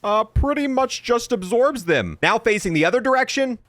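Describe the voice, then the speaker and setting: A man's voice: very high-pitched, expressive, moderate pace, noisy background. A young man giving casual, informal gameplay showcase commentary as a video host, in a matter-of-fact way.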